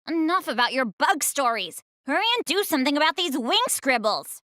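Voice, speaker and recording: A woman's voice: high-pitched, sing-song, normal speed, pushed and bright, dry recording, clean studio sound, exaggerated voice. A woman voicing a grumpy, impatient girl in a video game, curt and scolding. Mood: annoyed. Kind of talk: English game voice acting.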